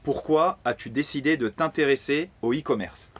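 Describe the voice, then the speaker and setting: A man's voice: on the high side, normal speed, husky. A man hosting an interview, speaking in a friendly, encouraging way with plenty of casual fillers. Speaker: host interviewer.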